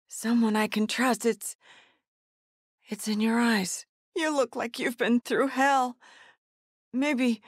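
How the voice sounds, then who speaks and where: A woman's voice: a little high, no room sound, studio quality. A woman playing a frightened, desperate mother in a survival horror video game, with pleading, gasping delivery. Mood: wary, hopeful. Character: desperate, frightened mother searching for her missing son.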